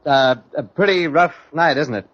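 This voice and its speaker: Slangy, breezy American husband. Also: male voice, fairly high pitch, normal speed, a bit bright. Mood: breezy, polite. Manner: slangy, wisecracking. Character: breezy, dismissive American husband, stranded traveler. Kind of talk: old-time radio drama acting. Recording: dull, faintly noisy old radio recording.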